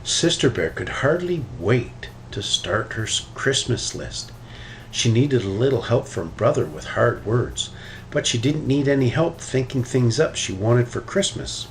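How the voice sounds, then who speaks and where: A man reading a children's picture book aloud in an expressive, animated way. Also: medium pitch, unhurried, poor audio. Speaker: storybook reader. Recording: noticeable background noise.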